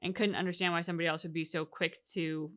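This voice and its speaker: A woman's voice: on the low side, little pitch movement, fast. A woman chatting casually as a reaction co-host, reflecting and explaining her points at length with examples. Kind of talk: casual discussion of a video game story.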